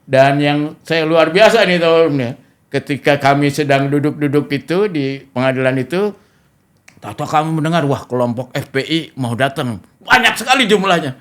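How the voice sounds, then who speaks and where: A middle-aged Indonesian man hosting a talk show, telling stories in an animated, casual conversational way. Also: on the high side, normal speed.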